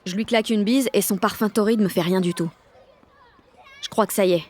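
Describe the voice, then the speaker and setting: A woman's voice: medium pitch, a little fast, close to an everyday voice. An actress playing a brash, lovestruck sixteen-year-old girl, narrating an audio drama in sarcastic, dramatic, slangy speech. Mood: dreamy, lovestruck. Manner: slangy, foul-mouthed, self-mocking. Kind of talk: first-person audio fiction narration with acted dialogue.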